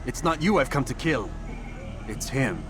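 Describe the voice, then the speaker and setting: An actor playing a stern, proud young assassin in a video game, terse and resolute. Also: male voice, medium pitch, very animated, measured pace, over music. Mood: earnest, firm. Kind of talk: video game cutscene acting.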